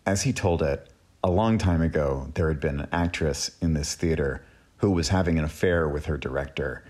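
A man's voice: extremely low pitch, darkish tone. A man hosting and telling a personal story in a casual, conversational storytelling style. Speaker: host and storyteller.

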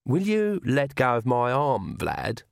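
Audiobook young witch, man performing: wry, stubborn, sardonic, lightly raised and lively pitch. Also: normal speed, no echo, studio quality, close to an everyday voice. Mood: sweetly firm.

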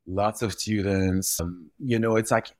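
Deep-voiced man. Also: unhurried, dry recording, clean studio sound. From Belgium. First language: French. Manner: animated, repeats words while gathering thoughts. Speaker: guest, retail visual expert. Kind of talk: conversational podcast interview.

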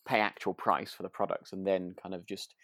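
Male podcast co-host, casual and low-key, hesitant self-correcting talker, dry close recording. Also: little pitch movement, fairly quick, vocal fry, a bit bright, dry recording. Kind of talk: casual chat about a radio drama.

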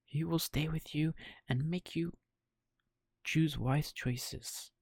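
A man voicing a gentle magical fairy, speaking softly and kindly in a bedtime story. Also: mid-range voice, steady tone, takes it slowly, breathy edge, no echo, clean studio sound, voice pushed up. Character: gentle magical blue fairy granting life.

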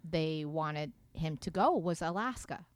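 A woman's voice: sits low, expressive, measured pace, clean tone. Playful woman, Japanese native speaker. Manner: playful, laughs while telling stories; chatty storyteller with many fillers and restarts. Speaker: podcast guest. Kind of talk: casual interview chat.

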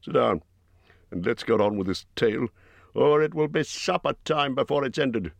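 A man voicing a huge, gruff bear-man who is blunt and impolite, in a growling voice. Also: animated, moderate pace, dry recording. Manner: booming, growling, rough.

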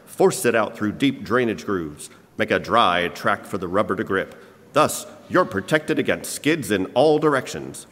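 A man's voice: medium pitch, a bit bright. A man announcing and narrating in a scripted, old-time radio style. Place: church hall, live performance. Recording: reverberant hall stage recording.

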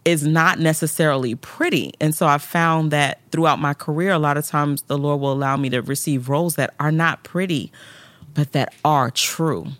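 A woman's voice: low voice, steady tone, moderate pace, fairly bright tone, dry recording. A woman, an actress, as a guest on an interview podcast, telling stories animatedly and acting out quotes with big jumps in her voice, in a studio. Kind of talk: interview storytelling.

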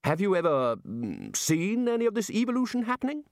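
Narrator voicing a pompous, booming senior wizard; a lot louder and higher than the narration, bossy and sure. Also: male voice, wildly swooping pitch, a little fast, vocal fry, dry recording, studio quality, exaggerated voice. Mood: blustering. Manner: blustering, booming, sure of himself. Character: rowdy, bossy older male head wizard.

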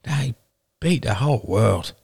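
A man's voice: medium pitch, expressive, measured pace, creaky, a little mellow, slightly rough, dry recording, studio quality, voice pushed up, full character voice. A man voicing a weary, resigned clan leader with a grave, sighing manner in an audiobook story. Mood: weary, measured.

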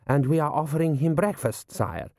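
A man voicing a dry, deferential army general with a measured, courteous manner in an audiobook. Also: a little high, lively intonation, a little mellow, dry recording, pitched above the performer's own voice. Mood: drily deferential.

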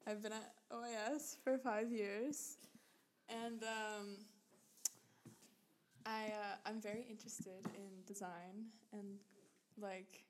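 A woman's voice: medium pitch, little pitch movement, slow, long pauses, slight background noise. Chatty giggly teen girl. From United States. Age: teens. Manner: giggly, chatty, stumbling restarts. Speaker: podcast co-host. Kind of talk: casual chat. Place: crowded classroom.

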